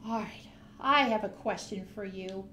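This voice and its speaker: A woman's voice: medium pitch, unhurried, heavy background noise. A woman storyteller reading a picture book aloud expressively, speaking directly to young children.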